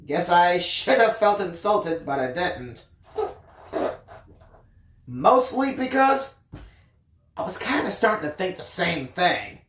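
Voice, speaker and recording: A woman reading a first-person story aloud in an expressive, dramatic narrating style. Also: lowish voice, slow pace, pauses often, roomy sound, slight background noise. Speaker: audiobook reader.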